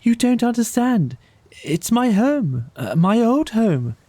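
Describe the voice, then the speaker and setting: Casting: small anxious mole, high-pitched, homesick, stammering and pleading, storybook audio play. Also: male voice, big pitch swings, slow, dark tone, no echo, exaggerated voice. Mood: anguished pleading. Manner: stammering, urgent.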